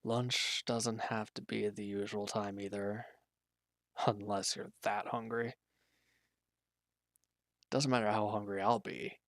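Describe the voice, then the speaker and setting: Man acting a low, creaky, weary injured villain. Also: level tone, a bit bright, no room sound, studio quality. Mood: weary, reluctant. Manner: hesitant, halting, low-key, grudging. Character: injured, weary male villain, guarded but quietly considerate. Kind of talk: roleplay audio drama, intimate one-sided dialogue.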